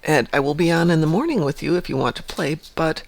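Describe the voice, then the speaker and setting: A man's voice: a little high, quick pace, gravelly, rough recording. A man hosting a casual gameplay livestream, chatting easily with co-hosts and laughing often. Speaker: livestream host. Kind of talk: casual gameplay stream chat.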